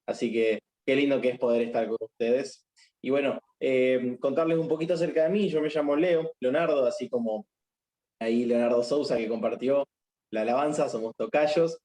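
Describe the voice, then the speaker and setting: A young man with an Argentine accent speaks over a video call, modest and friendly, pausing on fillers. His voice is dark and mellow at a middle pitch. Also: rough recording. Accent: Argentine Spanish. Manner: warm, modest, with many fillers. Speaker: chaplain and speaker. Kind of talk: casual introduction before a talk.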